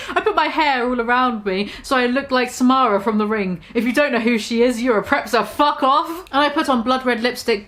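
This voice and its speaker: High-voiced young woman, deadpan comic reading, bursts of giggling. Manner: deadpan reading that cracks into giggles, swears freely. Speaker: comedic reader. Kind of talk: mock-dramatic reading, breaking into laughter.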